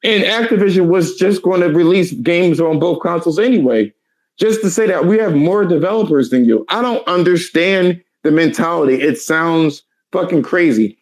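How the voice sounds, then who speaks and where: Hoarse thirty-something guy ranting hard, swears a lot, kinda high voice, raspy from no sleep. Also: normal speed. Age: 30s. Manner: profane, ranting, emphatic. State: tired, hoarse voice. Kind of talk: heated casual chat.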